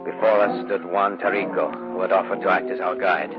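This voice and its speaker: A man's voice: low voice, level tone, brisk. A male actor as a grave adventurer narrating his ordeal in the first person, in old radio drama style. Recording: muffled old radio, loud music.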